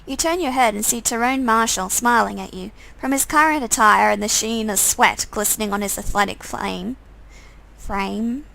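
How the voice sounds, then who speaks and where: A woman streamer narrates a visual novel aloud. Her voice is fairly high with a noticeable creak, and she reads the story in the second person. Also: normal speed, a bit bright, low-quality recording.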